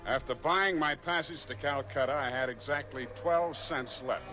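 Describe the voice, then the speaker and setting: An actor playing a wry, hardboiled private detective who narrates a 1940s radio drama in a deadpan way. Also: male voice, fairly high pitch, normal speed, lightly acted. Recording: muffled old radio recording with music.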